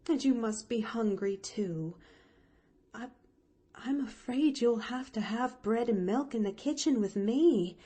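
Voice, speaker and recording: A woman's voice: fairly high pitch, dark tone, slightly rough, pitched above the performer's own voice. A woman voicing a kindly, dogged country housemaid in a storybook, folksy and ungrammatical, full of flustered exclamations.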